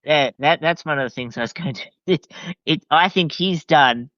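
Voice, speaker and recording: An Australian man chatting casually as a podcast guest, enthusiastic but hesitant and halting in his phrasing. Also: fairly high pitch, fairly bright tone, no echo, muffled recording. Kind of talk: casual chat.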